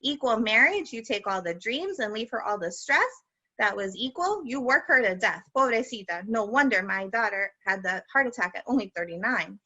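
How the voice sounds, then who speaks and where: A woman's voice: on the high side, lively intonation, moderate pace, lo-fi sound. A woman voicing a scolding old grandpa. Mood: angry. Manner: loud, emphatic, chiding. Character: stern older male grandpa, scolding.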